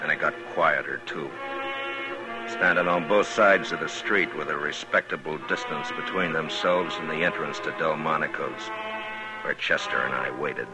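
A man's voice: extremely low pitch, normal speed, poor audio. An American man playing a stoic, terse frontier marshal who also narrates, in 1950s radio western style. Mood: grim, reflective. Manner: terse, deadpan, commanding. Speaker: lead actor and first-person narrator. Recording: vintage 1950s radio drama recording, music behind.

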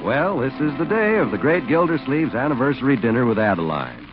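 A man's voice: medium pitch, sing-song, brisk, over music. A man announcing and narrating from a script in polished, emphatic 1940s radio style. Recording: muffled 1940s radio recording with hiss.

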